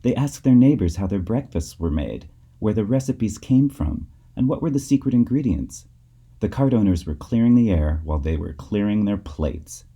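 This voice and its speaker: A man reading a children's story aloud as its author, with expressive, theatrical emphasis. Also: fairly low pitch, normal speed. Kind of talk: scripted storybook narration for children.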